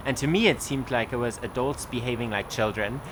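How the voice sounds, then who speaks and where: A man's voice: mid-range voice, brisk, noisy background. A young man telling his personal testimony in a casual way, with many fillers and restarts. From Germany.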